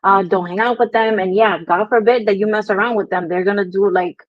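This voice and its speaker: A woman's voice: mid-range voice, steady tone, brisk, no echo. A young woman from Brooklyn with a New York accent and a touch of Spanish, casually telling her life story as an interview guest, laughing easily. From Brooklyn, New York, Dominican descent. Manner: chatty, laughs easily, many fillers. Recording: dull lo-fi video call audio.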